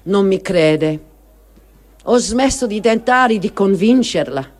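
A performer reading a first-person diary as a grieving, guilt-ridden adult child, in a deliberate dramatic style with emphatic pauses. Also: mid-range voice, lively intonation. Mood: sorrowful. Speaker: reader of testimony.

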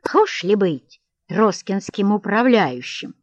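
A woman's voice: medium pitch, sing-song, slow pace, no echo, muffled recording. A woman voicing a coarse, boisterous middle-aged estate manager with hearty guffaws and blustering threats, in an audiobook reading. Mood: jovial.

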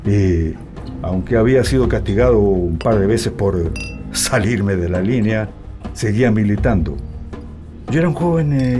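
A man's voice: medium pitch, natural voice. A man playing an older man with a Chilean accent, nostalgically recalling his militant youth in a radio drama monologue over music. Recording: music behind the voice.

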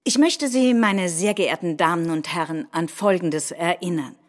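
A woman's voice: fairly low pitch, normal speed, close to an everyday voice. A woman acting in documentary theatre, playing a formal, insistent right-wing politician addressing an audience. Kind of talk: staged political speech.

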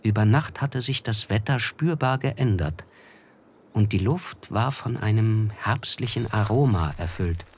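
An actor playing a reflective, melancholy narrator who looks back, telling a literary radio drama in measured, thoughtful phrases. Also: male voice, on the low side, lively intonation, a little hiss or hum. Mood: plain, measured.

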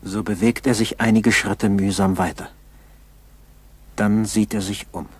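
Very husky, low grave narrator. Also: male voice, fairly even intonation, measured pace, a little mellow, no room sound. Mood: tense, observing. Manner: solemn, terse present-tense commentary. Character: grave male narrator urgently observing a struggling man. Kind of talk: 1960s German school radio play narration.

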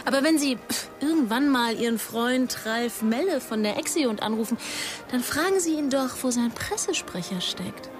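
A woman's voice: a little high, lively intonation, normal speed, over music, lightly acted. A woman playing a determined, guarded police investigator in a German radio crime drama, firm and matter-of-fact. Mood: pointed. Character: determined, guarded female police investigator, new to her job.

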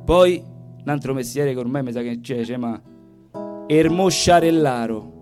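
A man's voice: medium pitch, a little slow, a little mellow, faint music behind. A man with a Roman Italian accent performing a colloquial, folksy comic monologue live, full of little asides. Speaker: stage performer, storyteller and singer.

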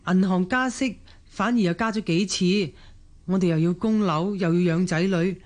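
An actress playing a worn but dutiful middle-aged daughter-in-law with a Hong Kong Cantonese accent, earnest and deferential, in a radio drama. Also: female voice, on the low side, normal speed, a little mellow, lightly acted. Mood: resigned, explaining.

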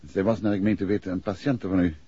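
An actor playing a calm, probing amateur detective in a 1960s Dutch radio play, with polite, measured questioning. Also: male voice, sits low, slightly rough. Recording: muffled vintage 1960s radio recording.

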